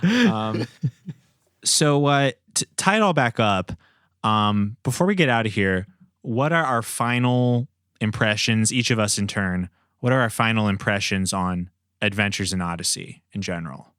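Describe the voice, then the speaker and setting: A man's voice: lowish voice, measured pace, a bit bright, smooth, no room sound, studio quality. A man hosting a casual podcast, talking in a joking, mock-earnest way. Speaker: podcast host and producer.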